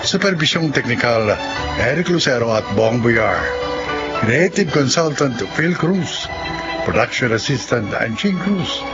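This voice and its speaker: A Filipino-accented man reads scripted radio drama narration. His voice sits at a medium pitch, with prominent music running underneath. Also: lively intonation, a little slow, highs cut off.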